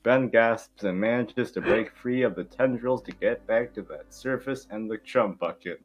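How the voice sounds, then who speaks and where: A man's voice: on the low side, moderate pace, lo-fi sound. A man hosting and narrating an amateur table read, informal and sometimes stumbling over the script. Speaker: host and narrator.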